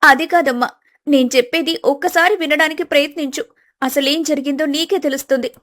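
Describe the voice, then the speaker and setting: A gentle, wronged young daughter in a moral family story, played by a woman. Her voice is high and earnest, with a pleading, soft-hearted manner. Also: steady tone, brisk. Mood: pleading, sorrowful.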